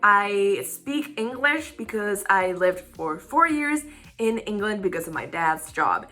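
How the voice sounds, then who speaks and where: A woman's voice: medium pitch, big pitch swings, takes it slowly, pushed and bright. A young woman from Spain, a native Spanish speaker, hosting a casual Q&A vlog in English, rambling and laughing often. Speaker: YouTube channel host.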